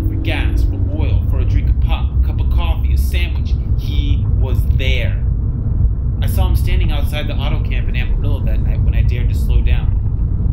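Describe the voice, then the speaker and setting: A man's voice: mid-range voice, very noisy, pitched below the performer's own voice, natural voice. A man playing a frightened, desperate young driver haunted by a phantom, in intense dramatic first-person storytelling. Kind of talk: dramatic first-person radio-play narration read aloud.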